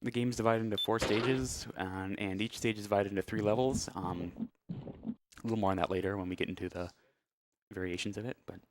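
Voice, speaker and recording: A man's voice: fairly low pitch, fairly even intonation, brisk, a little hiss or hum. A man giving casual, hesitant and understated live commentary as he plays through a video game. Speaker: speedrunner playing and commentating. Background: loud game music.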